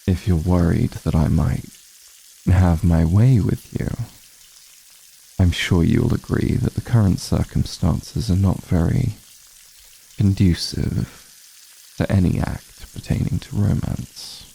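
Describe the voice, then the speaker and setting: Man acting as very deep, dark-voiced vampire patriarch. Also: fairly even intonation, slow, creaky, slight background noise, exaggerated voice, rough recording. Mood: dismissive, restrained. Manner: formal, archaic, haughty diction. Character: ancient aloof male vampire patriarch, commanding but honorable. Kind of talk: ASMR fantasy roleplay acting.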